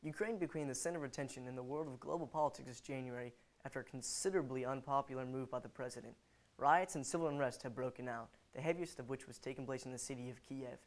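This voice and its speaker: A man's voice: medium pitch, fast talker. A man anchoring a student news show, reading scripted lines and asking loose interview questions padded with "you know".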